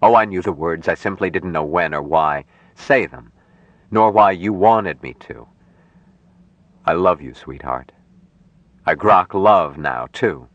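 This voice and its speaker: A man voicing an earnest, tender young man raised apart from humans, speaking slowly and formally in an audiobook story. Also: deep voice, no echo, dull audio. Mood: tender, amorous. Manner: slow, deliberate, formal phrasing.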